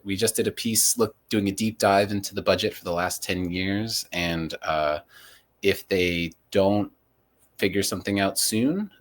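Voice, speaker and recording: A man hosting a local news podcast, moving between reading headlines and casual discussion. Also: on the low side.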